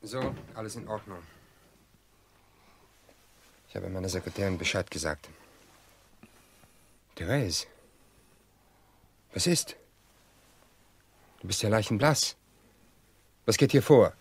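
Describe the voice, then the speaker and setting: Indignant, protective brother-in-law, lowish voice. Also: male voice, animated, measured pace, gravelly, long pauses, a little hiss or hum. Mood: concerned. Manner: assertive, argumentative. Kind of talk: radio drama acting.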